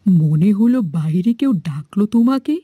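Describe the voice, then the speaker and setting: Woman playing a mellow, plaintive village mother. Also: medium pitch, lively intonation, normal speed, slightly rough, no room sound, studio quality. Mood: alarmed, distressed. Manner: soothing, plaintive, melodramatic. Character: caring, worried village mother getting on in years. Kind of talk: dramatic cartoon dubbing.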